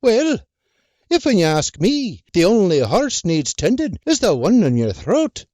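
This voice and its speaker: A narrator voicing a bossy young farm wife who fusses and scolds with a sharp tongue. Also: female voice, mid-range voice, very animated, a little slow, no room sound, studio quality, pitched above the performer's own voice. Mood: fussing.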